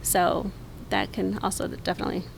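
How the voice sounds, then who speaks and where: A woman in her forties, a podcast guest, talking in an earnest, reflective way with many pauses. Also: mid-range voice, little pitch movement, creaky, a little hiss or hum. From United States, raised in Mexico. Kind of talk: casual conversation.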